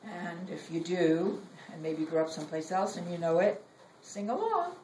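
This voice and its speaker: A woman's voice: fairly low pitch, expressive, moderate pace, dark tone. A woman in her sixties reads her own personal, wry writing aloud at a live reading. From Brooklyn, United States. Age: 60s. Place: indoor library reading room. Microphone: distant camera or phone in audience. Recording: roomy, distant mic, background noise.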